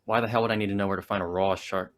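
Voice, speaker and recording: A man playing a jaded, intuitive police detective in a comic drama, with a husky voice and a wry manner. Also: low voice, fairly even intonation, very fast, fairly bright tone. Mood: exasperated, eager. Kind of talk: naturalistic comic adaptation acting.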